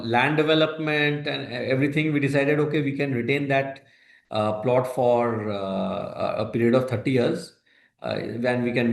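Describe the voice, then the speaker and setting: A man with an Indian accent answers investor questions as a company executive, explaining in a halting, hesitant way with broken phrases. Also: mid-range voice, creaky. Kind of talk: earnings call answers, explanatory. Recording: roomy echo on a conference call.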